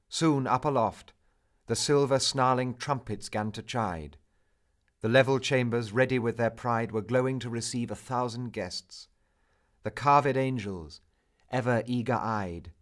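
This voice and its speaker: Slow, low-voiced man reciting poetry. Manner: solemn, theatrical, measured delivery with drawn-out phrases. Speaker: poetry reader. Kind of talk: dramatic recitation of a narrative poem.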